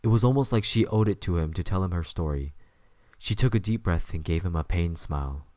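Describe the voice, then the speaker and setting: A man's voice: deep voice, fairly quick, a little mellow, no echo. A man narrating a scripted story as the narrator of an audio drama.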